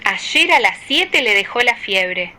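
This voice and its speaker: A woman's voice: on the high side, very animated, takes it slowly, sharp tone, full character voice. A woman voicing earnest villagers and servants in an audiobook radio drama, with some background noise. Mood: reporting news.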